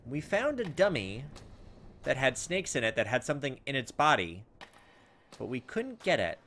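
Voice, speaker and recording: A man giving a casual let's play commentary, thinking out loud, reading game text and tossing in exasperated asides. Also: medium pitch, sing-song, normal speed, a little hiss or hum. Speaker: gaming video host. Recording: background music behind voice. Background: video game music.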